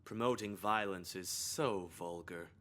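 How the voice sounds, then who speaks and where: An actress voicing a prim, preachy adult school staffer in a video game, with a theatrical, scolding manner. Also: female voice, extremely low pitch, measured pace, fairly bright tone.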